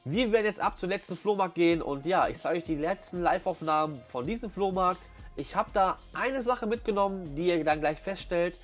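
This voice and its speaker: A man's voice: high voice, fairly quick, few pauses. A man giving casual, enthusiastic vlog commentary full of youthful slang. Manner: enthusiastic slang-filled chat. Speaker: vlog host. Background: background music.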